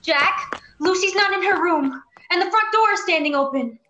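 Woman as a high, urgent, worried lady. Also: lively intonation, normal speed, pushed and bright, highs cut off, full character voice. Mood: urgent worry. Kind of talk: radio play acting. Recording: echoey room with background noise.

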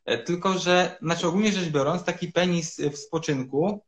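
Man explaining as a doctor on a video call. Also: on the high side. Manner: measured and explanatory, many hesitation sounds. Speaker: urologist guest. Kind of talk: explanatory interview answers. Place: home room, indoors.

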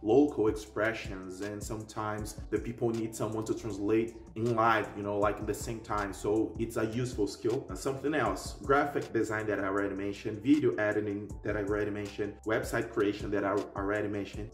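A young man, a native Portuguese speaker with a Portuguese accent, giving a casual, emphatic explainer as a video host. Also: fairly low pitch. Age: young adult. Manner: emphatic, often tags sentences with "okay?". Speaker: YouTube host. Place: living room at home, indoors. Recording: roomy echo, distant mic, faint music.

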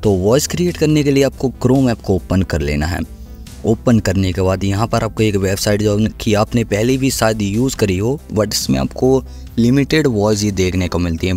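A man's voice: lowish voice, darkish tone. A man giving a casual step-by-step tutorial, instructing and repeating each step. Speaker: tech tutorial host. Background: music.

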